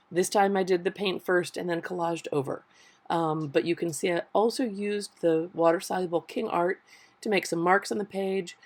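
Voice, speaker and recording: A middle-aged woman with a hoarse voice from a summer cold, calmly explaining her art journal process in a voiceover. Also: on the low side. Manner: relaxed, thoughtful explaining with fillers. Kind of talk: voiceover craft tutorial. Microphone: voiceover mic.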